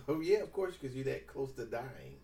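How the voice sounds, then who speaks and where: A man's voice: medium pitch, huge pitch swings, normal speed, warm tone. A man in his late forties chatting casually as a podcast co-host, teasing and blunt with slangy, profane banter, recorded off the mic in a noisy room. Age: late 40s. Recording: roomy, distant mic with background noise.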